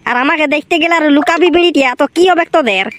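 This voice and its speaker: A man voicing a grumbling, put-upon village priest in a comic cartoon, with a rustic Purulia Bengali accent and a pitched-up voice. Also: rapid-fire. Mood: scolding. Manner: scolding, rustic, exclamatory. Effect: pitch-shifted up. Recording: clearly noisy track with clicks.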